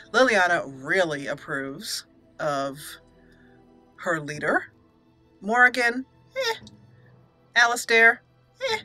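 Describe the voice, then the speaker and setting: A woman's voice: medium pitch, bright, forceful tone. A woman hosting a casual, chatty roleplay let's play, sometimes reading dialogue theatrically. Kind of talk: casual game commentary with roleplay.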